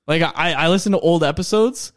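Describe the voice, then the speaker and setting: Man in his twenties, podcast co-host, slightly high voice, casual banter. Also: quick pace. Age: 20s. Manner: joking, plugs the show's perks. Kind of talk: casual chat.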